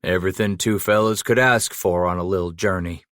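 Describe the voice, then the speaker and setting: A man's voice: sits low, fairly even intonation, brisk, a bit bright, smooth, dry recording, studio quality. A man voicing a crude, coarse frontiersman who talks in swaggering, drawling slang. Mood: menacing.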